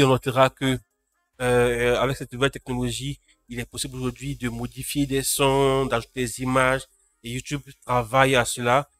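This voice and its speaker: A man's voice: medium pitch, a bit bright, no echo, clean studio sound. A man with a cold hosting a casual live tech news roundup, greeting chat viewers by name. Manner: talks to live chat viewers, frequent restarts. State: has a cold.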